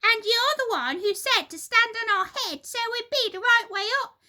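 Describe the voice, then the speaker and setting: A spiteful old hag of a wife from a storybook, acted out by a woman. She shrieks at a very high pitch, loud and frantic, with wild swings. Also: expressive, moderate pace, exaggerated voice. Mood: furious, frantic. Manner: screaming insults, frantic.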